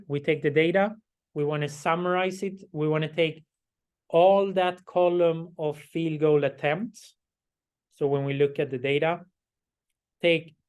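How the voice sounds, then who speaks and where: Slow, hoarse male lecturer. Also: fairly high pitch, a little mellow. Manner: slow, explaining step by step, frequent "right?" check-ins. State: hoarse, losing his voice, clearing his throat. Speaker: instructor. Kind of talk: recorded classroom lecture.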